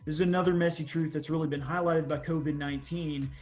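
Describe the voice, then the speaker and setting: A man's voice: a little high, fairly even intonation, fast talker, darkish tone, soft background music. A young man with a Texan accent gives a semi-formal presentation in an earnest, explanatory way. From small town Texas, USA. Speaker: development manager presenting on a panel.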